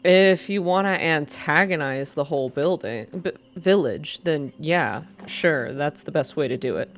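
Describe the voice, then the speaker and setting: A woman's voice: deep voice, lively intonation, measured pace. A woman voicing a skeptical young female activist with a blunt, sarcastic manner in a story reading.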